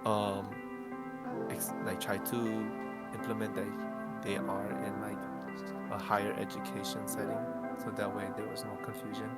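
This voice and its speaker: A man's voice: fairly low pitch, completely flat delivery, moderate pace. A man answering questions as a game developer in a casual, jokey, exclamatory live chat. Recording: prominent background music. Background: loud music.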